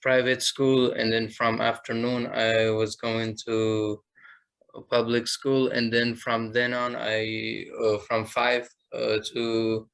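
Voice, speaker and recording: Slow, flat young man from Afghanistan. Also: mid-range voice, gravelly, clear, resonant tone, low-quality recording. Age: young adult. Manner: slow, hesitant, restarts. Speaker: guest. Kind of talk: casual interview talk.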